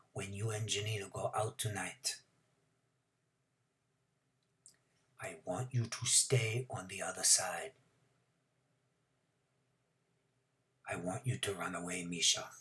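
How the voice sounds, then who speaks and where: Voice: level tone, unhurried, pushed and bright. A reader doing a whispering, desperate father. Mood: urgent hushed. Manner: low, urgent whisper. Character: frightened middle-aged father, urging his son to flee.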